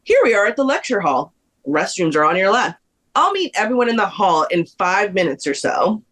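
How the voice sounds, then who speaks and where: A woman plays a female tour guide in a scripted read. She is polite and explanatory, with very animated intonation. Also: mid-range voice, normal speed, slight background noise. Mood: welcoming. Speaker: actor in a table read.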